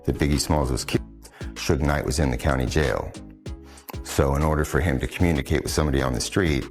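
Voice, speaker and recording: Male interviewee, investigator type, extremely low bass voice, explanatory, faint music behind. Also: a little fast. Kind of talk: spoken interview account.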